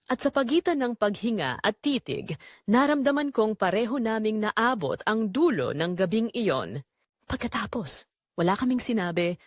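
A wistful young man voiced by a woman recalls a secret romance in an audio drama. The delivery is earnest and measured, with pitch that moves expressively. The recording is dry and close, with no room around the voice. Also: dry recording, close to an everyday voice. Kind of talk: scripted first-person audio drama narration.

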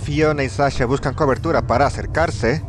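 A man's voice: mid-range voice, fairly quick, over music, natural voice. A man with a Latin American accent playing a cocky, smug young corporate schemer in a fan dub of an adventure game. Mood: confident, scheming.

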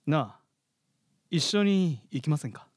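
A man's voice: fairly high pitch, expressive, a little slow, breathy edge, no echo, clean studio sound. A man playing a cocky, carefree, teasing katydid in a theatrical radio drama style. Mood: coaxing. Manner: theatrical, mock-polite turning taunting. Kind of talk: live radio drama acting. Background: music.

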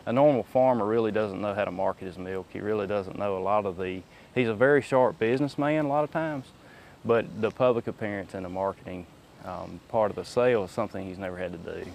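A man giving reflective, matter-of-fact interview answers in a somewhat halting way. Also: on the low side, gravelly, a little mellow, a little hiss or hum. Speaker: dairy business interviewee.

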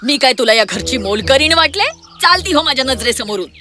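A woman's voice: high-pitched, expressive, a little fast, music in the background. A woman playing a vain, cruel young woman in a children's cartoon fairy tale, with a haughty, scornful, theatrical manner. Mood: indignant.

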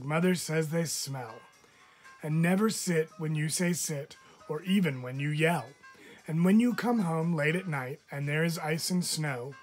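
A man, a teacher, reading children's animal poems aloud in a measured, expressive storytelling manner. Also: fairly high pitch.

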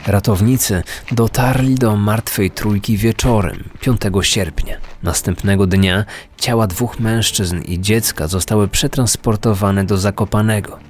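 A man's voice: on the low side, steady tone, a little slow, few pauses, slight background noise. A man narrating a scripted true-crime podcast. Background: faint music.

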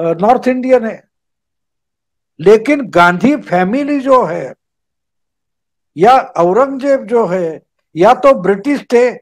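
An older man, a political commentator on a video call from a home study, arguing emphatically and repeating key phrases. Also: extremely high pitch. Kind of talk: video call interview, explanatory argument.

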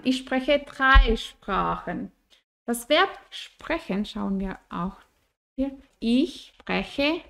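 A woman, giving a language lesson with deliberate enunciation and frequent little check-in tags. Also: on the high side, vocal fry. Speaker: German teacher. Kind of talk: bilingual language lesson, explaining and modelling phrases. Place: small indoor room at home.

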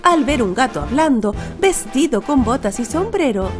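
A woman reading a children's storybook as narrator, with expressive scripted delivery. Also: high voice, moderate pace. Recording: music behind the voice.

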